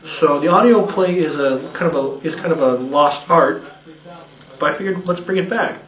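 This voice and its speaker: A man's voice: fairly high pitch, moderate pace, a bit bright. A man who produces a radio play, explaining it in a matter-of-fact way in an interview. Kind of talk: casual behind-the-scenes interview. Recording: roomy, away from the mic.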